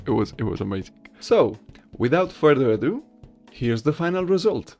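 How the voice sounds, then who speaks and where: A man's voice: mid-range voice, very animated, moderate pace, darkish tone, soft background music. A man chatting casually to viewers as an amateur voice actor, self-deprecating, repeating himself and checking in with little tag questions. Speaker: amateur voice actor, video host.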